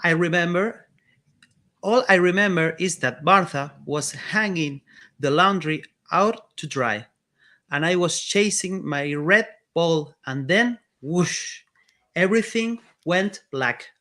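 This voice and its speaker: A man with an Argentine accent voicing a cheerful, friendly ghost dog, telling his story gently in first person. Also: on the high side, slow.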